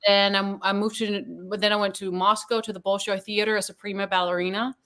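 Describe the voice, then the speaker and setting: Woman from Denmark, average pitch, lively storyteller. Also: brisk, clean tone. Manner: lively, laughs easily, storytelling. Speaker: actress guest. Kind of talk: casual interview chat.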